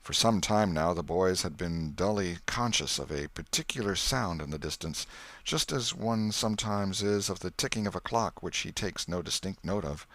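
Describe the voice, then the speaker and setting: Low-pitched man narrating a bedtime story. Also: a bit bright, no room sound. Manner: measured literary storytelling. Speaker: audiobook narrator.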